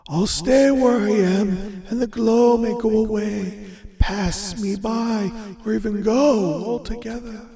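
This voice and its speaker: A man voicing an honest merchant in a storybook tale, musing to himself in a deliberate way. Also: high voice, fairly even intonation, gravelly, a loud crowd, voice pushed up, exaggerated voice. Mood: wary hope. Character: honest, resigned merchant lost in enchanted forest. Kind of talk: storybook fairy-tale character reading.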